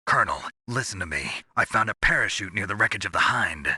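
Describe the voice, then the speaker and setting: An actor playing a tough, cynical special-operations soldier in a video game, gravelly and terse with a dry, world-weary manner. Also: male voice, on the low side, expressive, quick pace, pushed and bright, no echo, heavily acted. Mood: intent. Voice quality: gravelly, husky. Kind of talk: dramatic video game dialogue.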